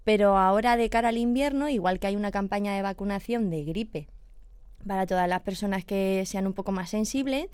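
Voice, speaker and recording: A woman's voice: medium pitch, a little fast, a little mellow, clean tone, no room sound, clean studio sound. A woman, a veterinarian explaining pet illnesses patiently on a radio chat, checking in with her listener as she goes. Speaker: veterinarian guest.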